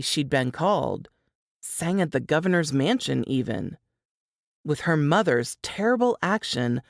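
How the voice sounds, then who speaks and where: A woman reading scripted fiction aloud as narrator, in an expressive, deliberate, dramatic style. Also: low voice, no room sound, studio quality.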